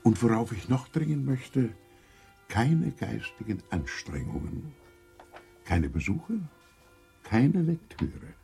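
A man in a radio play acting an old, worldly-wise doctor, gravelly, courteous and shrewd, speaking in a measured, formal way. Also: mid-range voice, big pitch swings, warm tone, soft background music, full character voice. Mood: professional, measured. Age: older.

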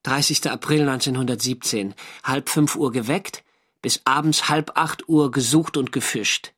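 An actor playing a German navy skipper who narrates his First World War diary in a measured, dry, literary way for a radio drama. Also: mid-range voice, a bit bright, breathy edge. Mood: matter-of-fact.

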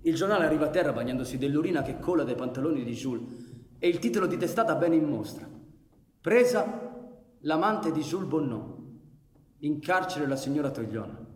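An actor playing a proud, bitter anarchist bandit in a radio drama, with a dramatic, deliberate delivery. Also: male voice, fairly high pitch, animated, lightly acted. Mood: grave, brooding. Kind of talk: theatrical radio drama narration and dialogue. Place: live radio performance in an echoey space. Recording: reverberant echoey hall with music.

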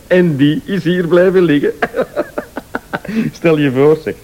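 An actor playing a jovial, tipsy late-night visitor who rambles and exclaims in a radio thriller. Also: male voice, on the high side, slow pace, mellow, dull audio. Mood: jovial, rambling.

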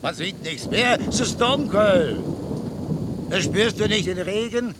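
Dismissive wary radio play character, really high voice, loud storm noise behind. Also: male voice, lively intonation, slow pace. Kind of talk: German radio drama acting.

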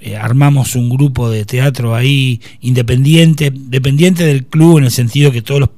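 Man in his fifties, halting Argentine speech. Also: medium pitch, fairly even intonation, darkish tone, no room sound. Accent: Argentine Spanish. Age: 50s. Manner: hesitant, many restarts. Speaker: guest. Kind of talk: casual interview.

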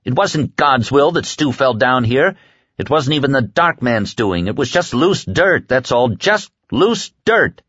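A man's voice: mid-range voice, big pitch swings, moderate pace, few pauses, fairly bright tone, slightly rough, lo-fi sound, pitched above the performer's own voice. A man voicing an anguished, hot-tempered man in an audiobook, protesting with indignant emphasis as he refuses to abandon a friend. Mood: furious.

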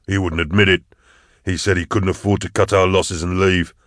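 A man's voice: low voice, level tone, normal speed, a bit bright, dry recording, clean studio sound. A man voicing a cynical, defeatist hunter in an audiobook, speaking in terse, exasperated, emphatic bursts. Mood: bitter, resigned. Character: bitter, fed-up male hunter, cynical and defeatist.

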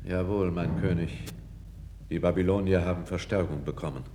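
Actor as deep, grave royal councillor. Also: male voice, steady tone, mellow, slight background noise, lightly acted. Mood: deferential, matter-of-fact. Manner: measured, grave, deferential yet frank. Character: calm, candid foreign court councillor to a king. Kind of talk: 1960s German radio play acting.

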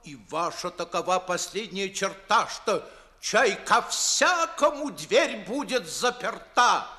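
A man's voice: sits high, animated, slow pace, bright, forceful tone, full character voice. An actor playing a pompous, indignant older father in a 1950s audio play, scolding theatrically in comic verse. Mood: indignant threats.

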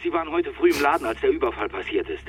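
An actor playing a tense, demanding police detective in a radio drama, urgent and commanding. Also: male voice, medium pitch, very animated, racing, husky, dry recording. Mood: urgent, angry. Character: urgent, forceful male police detective seeking his colleague. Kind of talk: modern German radio drama acting.

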